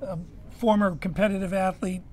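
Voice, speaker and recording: A woman's voice: mid-range voice, steady tone, normal speed, mellow. An American woman co-hosting a news show, bantering casually with dry teasing quips.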